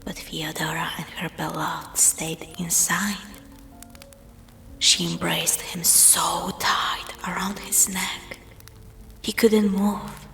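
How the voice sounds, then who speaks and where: Slow, hushed woman telling a spooky story. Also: lowish voice, level tone, rough recording. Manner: hushed, breathy, dramatic storytelling. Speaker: storyteller, voice performer. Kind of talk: scripted spooky story narration. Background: music.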